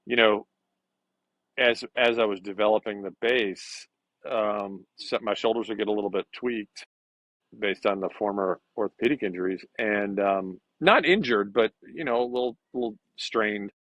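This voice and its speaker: A man in his fifties talking as an interview guest, in a halting conversational way with repeated words. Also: fairly low pitch, fairly bright tone, dry recording. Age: 50s. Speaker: podcast guest. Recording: dull lo-fi call audio.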